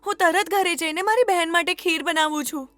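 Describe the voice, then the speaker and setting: A woman's voice: extremely high pitch, a little fast, fairly bright tone, no echo. A woman voicing a kind, innocent young girl in an earnest, sweet way for a children's cartoon story. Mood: grateful, excited.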